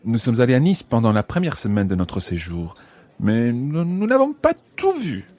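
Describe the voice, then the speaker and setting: A courteous male holidaymaker acted by a man in a language-course radio play. His mid-pitched voice swings expressively, and his manner is considerate and apologetic. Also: huge pitch swings, moderate pace, darkish tone. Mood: polite, considerate. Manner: polite, apologetic. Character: courteous, slightly reserved male holidaymaker among new friends.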